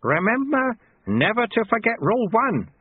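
A man's voice: sits high, big pitch swings, normal speed, slightly rough, phone-quality sound, voice pushed up, full character voice. A man voicing a sly, cheerful old monk and martial-arts master who talks in a cheeky, colloquial, teasing way, in an audiobook. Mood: smug, cheerful.

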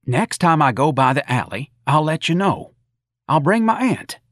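Audiobook role: mild, eager-to-please little cop, acted by a male narrator, folksy, raised pitch, lively intonation. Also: normal speed, no echo, studio quality. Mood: eager, friendly. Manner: folksy ungrammatical talk, mild. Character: meek, friendly little patrolman, eager to please.

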